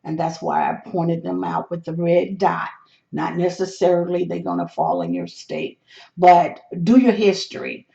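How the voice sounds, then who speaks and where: A hoarse, gravelly host walks slowly through number charts, stopping often to restart a thought. The tone is dark and mellow. The recording sounds dull and lo-fi. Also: mid-range voice, animated. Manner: slow, step-by-step explaining with hesitant restarts. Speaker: lottery number tutorial host. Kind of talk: screen walkthrough of number charts.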